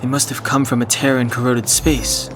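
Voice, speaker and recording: A man's voice: medium pitch, level tone, moderate pace, noisy background, natural voice. A man playing a reserved, analytical young traveler in a video game, serious, terse and matter-of-fact. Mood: calm, serious. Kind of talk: video game cutscene voice acting.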